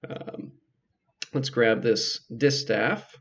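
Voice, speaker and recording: Male game player, relaxed commentary, hesitant and self-deprecating, creaky voice, muffled audio. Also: mid-range voice, extremely slow. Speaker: game player narrating a playthrough.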